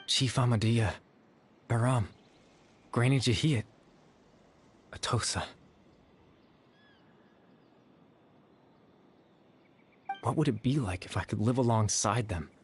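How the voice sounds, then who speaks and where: An actor playing a soft-spoken, melancholy young man in a video game, speaking in a hesitant, reflective, measured way. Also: medium pitch, slight background noise, close to an everyday voice. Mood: wistful. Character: gentle, melancholy young man, dead since boyhood, soft-spoken illusion. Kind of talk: scripted video game character acting. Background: music.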